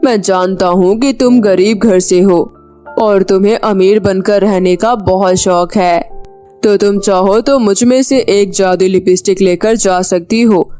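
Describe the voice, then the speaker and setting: A woman voices a magical talking tree in a children's moral tale. The tone is mellow and dark, the delivery measured and admonishing. Faint music runs underneath. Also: medium pitch, close to an everyday voice. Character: magical male talking tree, stern wish-reading judge. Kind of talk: children's moral story character acting.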